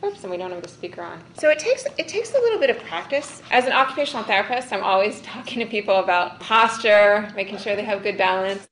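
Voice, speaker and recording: A woman's voice: mid-range voice, expressive, fast, few pauses, fairly bright tone. A woman, an occupational therapist, explaining how to use a device in a casual, friendly way with frequent ums. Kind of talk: casual demonstration and Q&A. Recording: echoey room from a distant mic with noise.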